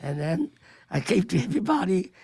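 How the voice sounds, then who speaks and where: Older chef, gravelly. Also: male voice, sits high, fairly quick, no room sound, clean studio sound. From Hong Kong. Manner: broken English, animated and joking. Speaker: chef guest. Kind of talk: radio interview.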